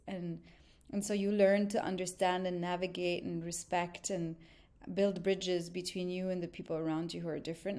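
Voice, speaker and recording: A woman's voice: lowish voice, dark tone. A woman from Lebanon, a podcast guest, speaking earnestly and reflectively with frequent ums. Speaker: podcast guest, consultancy founder. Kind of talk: conversational interview.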